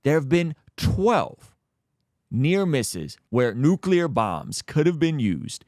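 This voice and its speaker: A man's voice: a little high, sing-song, takes it slowly, a little mellow, dry recording, clean studio sound. A man from Azerbaijan hosting his own channel, giving earnest, emphatic commentary straight to his viewers. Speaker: YouTube host. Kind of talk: faith-based commentary on current events.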